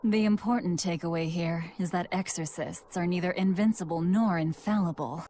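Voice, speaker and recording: An actress playing a cold, guarded young female demon fighter with a curt, deadpan manner in a video game. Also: on the low side, normal speed, a little hiss or hum, lightly acted. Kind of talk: English video game voice acting.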